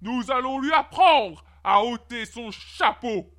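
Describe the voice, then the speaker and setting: A woman's voice: medium pitch, lively intonation, moderate pace, a bit bright, faint music behind, natural voice. A woman voicing a haughty, furious king in a storybook, imperious and indignant as she makes demands. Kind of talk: storybook character acting.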